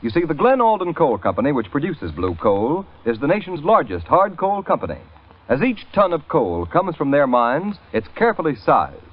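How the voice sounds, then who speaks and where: A man's voice: fairly high pitch, very animated, normal speed, dull audio. A man delivering a polished, salesmanlike commercial as a vintage radio announcer. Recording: thin, band-limited old radio recording.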